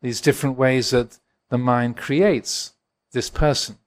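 A man in his sixties from England, a Buddhist monk giving a gentle, reflective dharma talk and answering questions at a retreat. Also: mid-range voice, steady tone, a little slow. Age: 60s. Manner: gentle, reflective, chuckles often.

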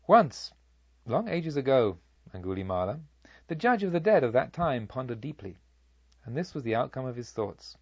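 A man reading a Buddhist tale as an audiobook, with a solemn, measured, theatrical storytelling delivery. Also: medium pitch, big pitch swings, husky, no echo, muffled recording. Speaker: audiobook narrator. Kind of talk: scripted literary narration of a Buddhist tale.

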